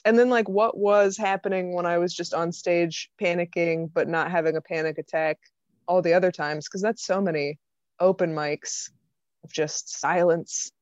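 A woman's voice: on the low side, steady tone, moderate pace, no echo. An American woman from Vermont, a comedian guesting on a podcast, chatting casually in a wry, informal way. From Vermont, United States. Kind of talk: casual chat. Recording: slightly dull, lo-fi microphone sound.

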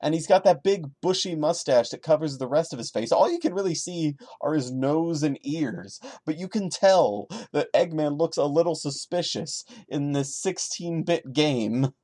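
A man's voice: fairly high pitch, lively intonation, moderate pace, hardly any pauses, warm tone, no echo, studio quality. A man hosting a podcast, giving a dramatic reading broken up by sarcastic, exasperated asides. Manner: sarcastic, exasperated commentary breaking into the narration. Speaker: podcast host.

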